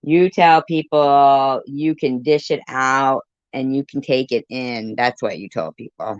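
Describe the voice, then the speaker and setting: A woman's voice: a bass voice, a little slow, no room sound. A woman arguing on a live panel, confrontational and sarcastic, repeating words for emphasis, on lo-fi call audio. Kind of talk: argumentative live panel talk. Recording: dull, dry lo-fi call audio.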